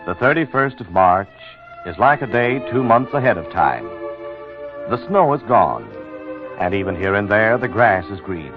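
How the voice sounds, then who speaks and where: A solemn narrator delivers poetic lines in a low voice, in 1940s radio style. Music runs clearly behind him on a slightly muffled old broadcast. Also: male voice, very animated, moderate pace. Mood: solemn, lyrical. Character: solemn, poetic male narrator of a somber tale. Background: orchestral music.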